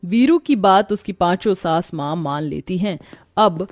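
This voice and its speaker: A woman's voice: lowish voice, lively intonation, measured pace, no echo, soft background music. Woman narrating a story in a dry recording. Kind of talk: scripted narration of a family moral story.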